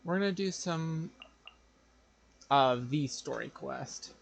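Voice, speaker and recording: A man livestreaming a game with casual, halting chat, often muttering to himself. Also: fairly high pitch, gravelly, a little hiss or hum. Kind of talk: casual gaming stream chat.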